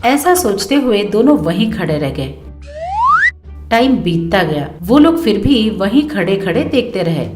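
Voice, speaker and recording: A woman narrating a cartoon story in a plain, steady storytelling manner. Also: medium pitch, measured pace. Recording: roomy echo, faint music behind.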